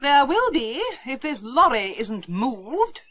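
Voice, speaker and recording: A woman's voice: high-pitched, sing-song, takes it slowly, a bit bright, full character voice. A woman voicing a bossy, indignant village busybody with a scolding manner, in a children's storybook. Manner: imperious, scolding. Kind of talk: children's storybook audiobook character voice.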